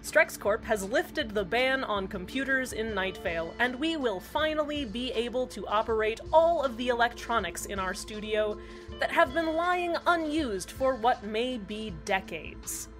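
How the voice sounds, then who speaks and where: A woman playing an eerie small-town community radio host in a scripted fiction podcast, deadpan and measured, with deliberate pauses. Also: a little high. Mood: uneasily upbeat. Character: eerily cheerful female small-town community radio host, uneasily upbeat. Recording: music under the voice. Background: background music.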